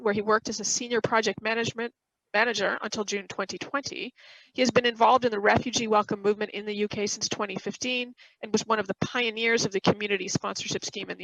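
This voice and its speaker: A middle-aged woman moderates a video-call session through a headset, sounding close and dry. Her medium-pitched voice has noticeable creak, and she reads formally with some halting. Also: steady tone, fairly bright tone, no echo, low-quality recording. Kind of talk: formal introduction read from notes. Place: room at home with bookshelves, video call. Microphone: headset microphone.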